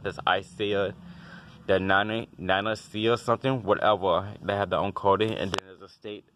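A very low-pitched man talks through a home inspection in a loose, rambling way, brushing things off with offhand remarks. He holds a phone camera as he walks around the outside of a house and down into a basement. A faint hiss of background noise stays under his voice. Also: a bit bright. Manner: rambling, offhand, dismissive asides. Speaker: house inspector and HVAC tech. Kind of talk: casual walkthrough tutorial. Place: outdoors beside a house, then a basement utility room. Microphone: handheld phone camera.

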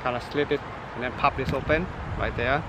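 A man's voice: medium pitch, a little fast. A man in his thirties, a home grower explaining the steps plainly in a yard, recorded outdoors. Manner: plain, step-by-step explaining with fillers. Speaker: guest pomegranate grower. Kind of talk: casual explaining. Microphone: camera held by another person a few feet away. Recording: outdoor recording with traffic noise.

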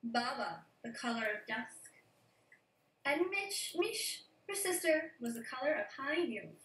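A young woman, an early childhood educator, reading a picture book aloud to children over a video call from a room at home, gentle and expressive. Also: high voice, measured pace, slight background noise. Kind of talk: read-aloud storytelling for children. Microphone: video call from a laptop. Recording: echoey room, distant laptop mic.

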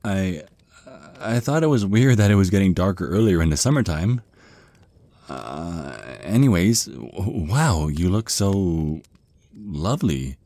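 Man acting a deep-voiced, shy, stammering vampire. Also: animated, mellow, dry recording, heavily acted. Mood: awkward, nervous. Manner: nervous stammering, halting, awkward rambling. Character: shy, flustered young male vampire on a first date. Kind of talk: ASMR roleplay acting.

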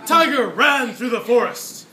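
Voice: medium pitch, animated, unhurried, pushed and bright. A performer telling a scripted story in readers theatre, with a projected, emphatic stage delivery. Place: classroom, indoors. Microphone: distant camera. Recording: echoey classroom from far, faint noise.

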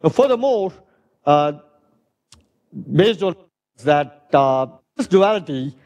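A middle-aged man with a loud, fairly high voice lectures in a hall. He thinks aloud, dotting his talk with "uh" and "right?", and paces at the front. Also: big pitch swings, slow pace. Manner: thinking aloud with many "uh"s and tag questions. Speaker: physics lecturer. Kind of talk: academic lecture.

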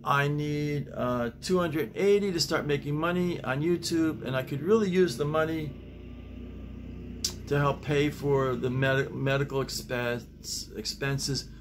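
An older man around seventy with a plain, halting delivery, talking and reading at his desk at home. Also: a little high, noisy background. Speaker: YouTube host and playwright reading own work. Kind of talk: script reading and casual vlog talk. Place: small home office, indoors.

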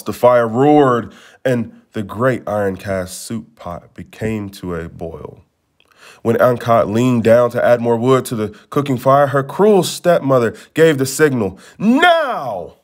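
Expressive man reading a storybook aloud. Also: mid-range voice. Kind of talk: scripted storybook narration.

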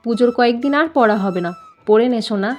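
Casting: actress as affectionate mum for a cartoon, fairly high voice, coaxing yet firm, music underneath. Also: female voice, fast, a little mellow, lo-fi sound, close to an everyday voice. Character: caring but firm Bengali mother of a schoolgirl. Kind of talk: cartoon voice acting.

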